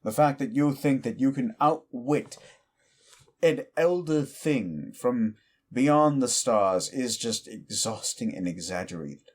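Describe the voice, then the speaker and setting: A man voicing an ancient, petty male demon who mocks a mortal in a slow, deep drawl, in audio roleplay. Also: expressive, a little mellow. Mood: scornful.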